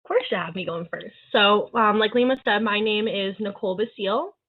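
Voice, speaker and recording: A woman's voice: mid-range voice, fairly quick. A young woman introduces herself as a panelist, candid and conversational, with plenty of fillers. Her voice comes through tinny, phone-like call audio. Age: young adult.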